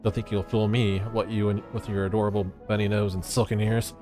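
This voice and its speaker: A man acts out an excitable video game reporter obsessed with conspiracies. He declaims pompously and dramatically in a fairly low voice, with music playing underneath. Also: a little fast, lightly acted. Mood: accusing. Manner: bombastic, declamatory, stammering in alarm.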